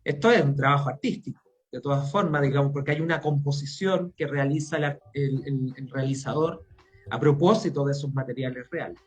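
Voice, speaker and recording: A man with a Chilean accent, explaining ideas reflectively and haltingly as he searches for words in an interview. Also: mid-range voice. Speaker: interview guest, academic and playwright.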